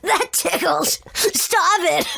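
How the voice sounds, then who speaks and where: A woman playing a tender, excitable child in a video game, with a raspy, creaky voice. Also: very high-pitched, no echo, heavily acted. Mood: delighted.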